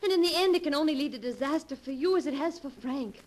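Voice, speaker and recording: An earnest young woman in a 1940s radio drama,, speaking with urgency and concern. Her voice sits a little high. A faint hiss from the old broadcast lies beneath. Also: expressive, quick pace, darkish tone. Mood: worried.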